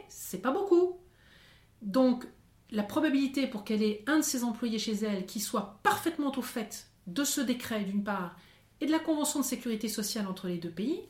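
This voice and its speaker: A woman's voice: a little high, a little mellow. A woman methodically explaining social security rules as a podcast guest. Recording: roomy echo.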